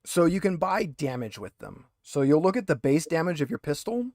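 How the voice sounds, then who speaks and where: A man's voice: on the high side, big pitch swings, fairly quick, a little mellow, dry recording, studio quality. A man acting as game master, chatting casually and explaining the rules step by step. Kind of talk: casual tabletop game chat.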